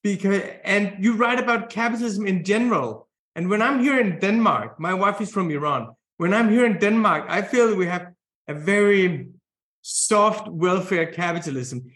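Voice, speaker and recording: A man's voice: high voice, fairly bright tone. A man interviewing a guest, building long, rambling questions. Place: Denmark. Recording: roomy echo.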